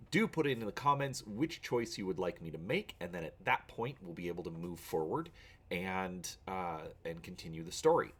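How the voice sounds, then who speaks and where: A man hosting a channel, talking casually to viewers and reading an adventure story aloud with drama. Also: lowish voice.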